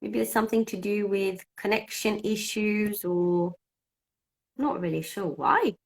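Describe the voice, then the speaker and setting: A woman's voice: medium pitch, unhurried, vocal fry. A woman from Cyprus whose first language is Greek, an English teacher hosting a casual live-stream chat and thinking aloud as she sorts out technical trouble. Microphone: phone.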